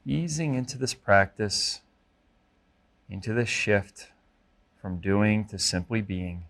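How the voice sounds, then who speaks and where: Male meditation instructor, lower register, gentle and measured delivery for guided mindfulness practice. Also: animated, creaky. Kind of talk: guided body scan meditation.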